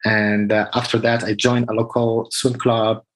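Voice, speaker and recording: A man's voice: fairly low pitch, little pitch movement, a little slow, a little hiss or hum. Man telling his story. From Morocco. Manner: earnest, many fillers, simple non-native phrasing. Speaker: guest athlete. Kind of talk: casual interview answers.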